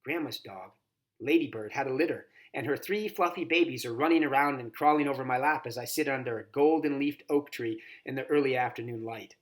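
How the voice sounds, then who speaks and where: A man's voice: mid-range voice, a little fast. A man reading a children's novel aloud expressively, as a volunteer story reader.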